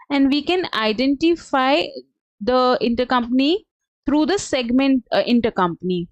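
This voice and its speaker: Higher-pitched woman giving software training. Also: no room sound. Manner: halting, explanatory, step by step. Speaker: software trainer presenting a webinar. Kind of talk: live instructional screen-share walkthrough.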